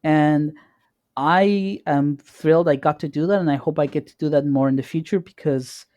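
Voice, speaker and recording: A man co-hosting a podcast, chatting informally and haltingly, often repeating words as he goes. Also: on the high side, darkish tone, no room sound. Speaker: podcast co-host. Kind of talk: casual chat.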